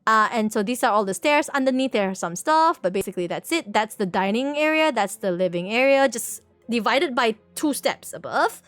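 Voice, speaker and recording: A woman's voice: on the high side, lively intonation, normal speed, hardly any pauses, a bit bright, no room sound, soft background music. A woman livestreamer explaining things casually to viewers in a rambling, playful way, repeating words and correcting herself as she goes. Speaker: livestream host.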